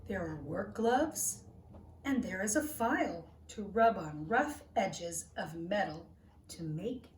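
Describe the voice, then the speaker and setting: A woman reading a children's story aloud like a teacher, with deliberate, simple phrasing for small children. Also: medium pitch, lively intonation. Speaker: teacher reading a picture book to young children. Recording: roomy echo, distant mic, faint noise.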